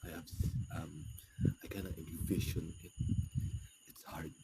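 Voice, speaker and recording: A young man with a deep, gravelly voice made hoarse by a cough. He talks slowly and haltingly, rambling through a personal vlog in English that is not his first language. Loud background hiss sits under everything. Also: little pitch movement. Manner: hesitant, rambling, soft-spoken. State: hoarse from a cough and dry throat. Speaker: vlogger.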